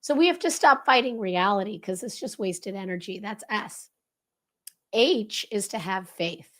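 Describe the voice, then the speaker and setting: Hoarse woman coaching with a crackly voice. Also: medium pitch. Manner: brisk, animated, coaching tone. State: hoarse, voice lost. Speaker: leadership consultant guest. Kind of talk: explaining a leadership framework.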